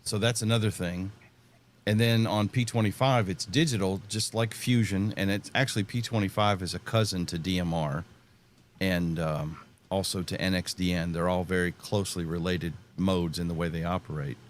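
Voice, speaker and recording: A man's voice: low voice, steady tone, normal speed, slight background noise. A middle-aged American man in casual conversation, explaining things in a folksy, plain-spoken way. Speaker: podcast panelist and ham radio hobbyist.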